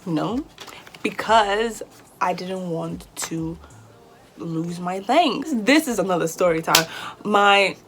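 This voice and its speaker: A woman in her twenties from Nigeria with a British accent, talking casually to camera as a hair vlogger, chatty and lively and sometimes flustered. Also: fairly low pitch, slow, a little hiss or hum. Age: 20s. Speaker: beauty vlogger.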